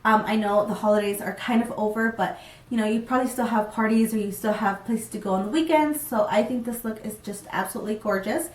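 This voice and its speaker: A woman's voice: on the high side, fast, recorded from a distance. Young woman vlogger w a stuffy cold voice, chatty and full of ums, kinda roomy echoey sound. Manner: chatty and upbeat, many ums. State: congested from a cold. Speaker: beauty vlogger. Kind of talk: casual makeup tutorial. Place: small room, plain backdrop. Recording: roomy and off-mic.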